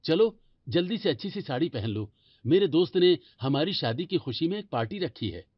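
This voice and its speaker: A man's voice: fairly high pitch, big pitch swings, normal speed, no echo, dull audio. A man playing a vain young husband in an audio story, blunt, critical and dismissive. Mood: brisk.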